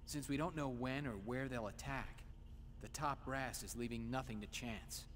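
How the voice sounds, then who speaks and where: A man's voice: medium pitch, moderate pace, fairly bright tone, a little hiss or hum, lightly acted. A man voicing an awkward, timid young male colleague in a video game, hesitant and earnest. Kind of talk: English game cutscene acting.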